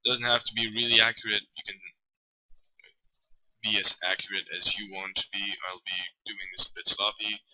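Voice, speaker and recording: A man from Belgium, hesitantly talking through a software tutorial with lots of fillers and self-corrections. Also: lowish voice, flat delivery, moderate pace, dry recording, poor audio. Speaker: tutorial maker. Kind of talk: casual screen-recorded software tutorial. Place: at a computer desk.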